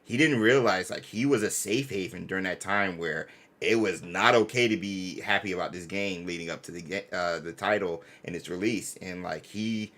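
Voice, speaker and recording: A man's voice: sits low, normal speed, a bit bright. A man hosting a gaming fan channel, chatting casually and effusively in slangy, bro-heavy speech. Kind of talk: casual live-stream chat.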